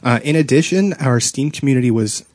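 A man's voice: mid-range voice, animated, fairly quick, darkish tone, no room sound. A man hosting a podcast, chatting casually with his co-hosts. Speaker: podcast host. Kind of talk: casual group chat.

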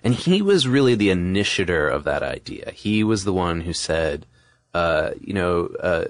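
Man, deep register, podcast co-host, casual history explainer, informal phrasing, dry sound. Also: sing-song, moderate pace, no room sound.

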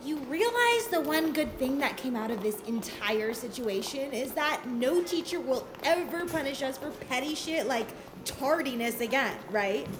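A woman playing a sarcastic, breezy teenage high school girl in an audio drama. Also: animated, moderate pace, hardly any pauses, background noise. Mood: wry, casual.

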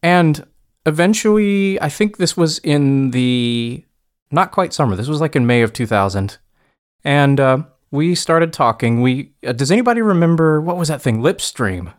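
A man's voice: a little high, lively intonation, normal speed. A man in a casual podcast conversation, chatty and self-deprecating, laughing often as he tells a story. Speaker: podcast co-host.

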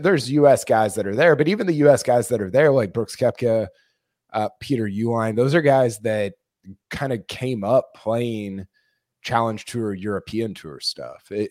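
A man co-hosting a sports podcast, a bit hoarse, rambling with many restarts. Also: fairly low pitch, darkish tone, dry recording, clean studio sound. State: losing his voice. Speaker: podcast co-host. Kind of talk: casual sports podcast chat.

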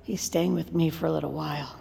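An actress playing an anxious, protective middle-aged mother in an audio drama, hushed, soothing and earnest. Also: sits low, steady tone, a little fast, gravelly. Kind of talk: naturalistic audio drama acting. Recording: long reverberant hall echo.

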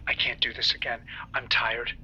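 An actor playing a weary, ailing older President in an audio drama, sighing and resigned. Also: male voice, medium pitch, monotone, normal speed, lo-fi sound, crowd noise, lightly acted. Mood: weary, defeated.

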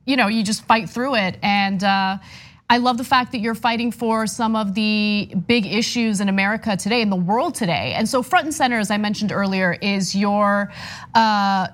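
A woman's voice: medium pitch, normal speed, fairly bright tone. A woman hosting an interview, asking encouraging questions.

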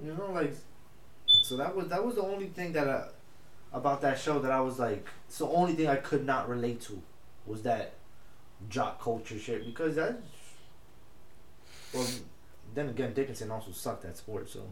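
A young man whose first language is Spanish, co-hosting a casual podcast chat in an animated, slangy way with lots of swearing. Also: medium pitch, normal speed, long pauses. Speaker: podcast co-host. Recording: echoey room with faint noise.

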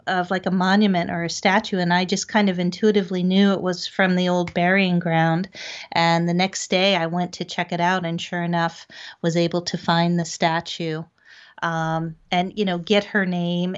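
Woman storyteller with dull, lo-fi sound. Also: fairly low pitch. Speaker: guest, paranormal investigator. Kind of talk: conversational storytelling.

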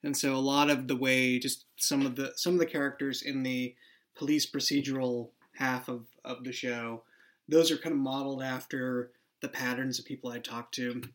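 A man speaking as a creator in a casual interview, explaining and telling anecdotes in a halting way. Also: medium pitch, level tone, a bit bright. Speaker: series creator, panel guest.

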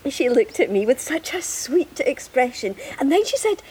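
Brisk, bossy, high-voiced actress as woman. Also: big pitch swings, a little mellow, slightly rough, exaggerated voice. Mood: gushing, brisk. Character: bossy, chattering woman fussing over home and child. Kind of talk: acted audio drama.

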